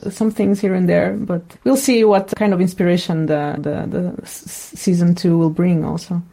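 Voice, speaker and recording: A woman answers in an interview, tentatively thinking out loud. Her tone is mellow and warm, and slightly low for a woman. The style is conversational. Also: fairly even intonation, normal speed, creaky. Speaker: sound designer, guest.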